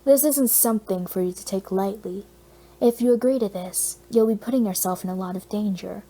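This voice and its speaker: A woman's voice: medium pitch, a little fast, mellow, husky. A woman voicing a stern female spy superhero who gives firm, serious warnings in an audiobook.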